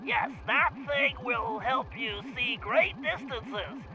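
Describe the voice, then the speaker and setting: Nerdy young male gang planner for an animated game, extremely high register, cautious, precise, lecturing delivery. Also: slow, highs cut off, a crowd in the background. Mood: reassuring, instructive. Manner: precise, fussy, explanatory. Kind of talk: animated video game voice acting.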